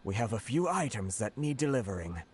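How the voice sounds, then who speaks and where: An actor playing a smooth-talking, slyly demanding gentleman official in a video game, polite and teasing. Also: mid-range voice, sing-song, brisk, a little hiss or hum, natural voice. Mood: sly, breezy. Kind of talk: video game character acting. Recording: game music behind.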